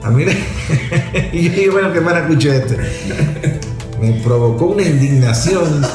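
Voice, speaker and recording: A man's voice: on the high side, expressive, a little slow, echoey room, music in the background. A man with a Venezuelan accent hosting a radio talk show, speaking emphatically and teasing his guest. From Venezuela, Zulia. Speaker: radio host. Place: radio studio.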